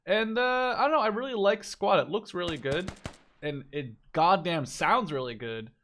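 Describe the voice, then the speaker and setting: A man's voice: high voice, expressive, moderate pace, fairly bright tone, a little hiss or hum. A man giving casual, unscripted video game commentary as a spectator, thinking aloud in an informal way.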